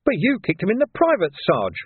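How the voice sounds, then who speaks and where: A thin, phone-like recording carries a man acting as a naive young constable in a high pitch. He is anxious and deferential, forever questioning his superior, like a keen junior in an audiobook. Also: huge pitch swings, fairly quick, breathy edge, dry recording, heavily acted. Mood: protesting. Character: eager, naive young male lance constable. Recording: narrow band, phone-like sound.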